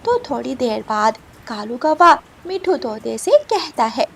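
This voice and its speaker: A woman's voice: a little high, lively intonation, a little slow, creaky, husky. A woman storyteller reading scripted narration for a children's story.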